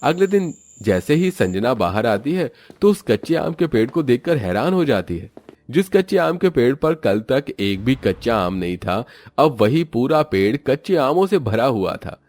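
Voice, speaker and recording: A man's voice: medium pitch, very animated, normal speed, a little mellow, dry recording. A man narrating a scripted moral story as its storyteller.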